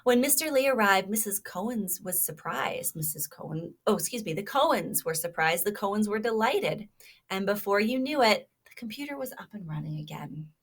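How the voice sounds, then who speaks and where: A woman's voice: medium pitch, expressive, moderate pace. A woman reading a children's story aloud expressively, stopping to prompt her young listeners with questions. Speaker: storybook reader.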